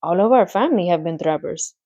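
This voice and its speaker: A woman's voice: fairly low pitch, animated, brisk, warm tone, highs cut off, natural voice. A woman reading a storybook aloud, voicing a clever, matter-of-fact female spider in a pleasant, thin voice with a put-on accent. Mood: lecturing. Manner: put-on accent, relishing, lecturing.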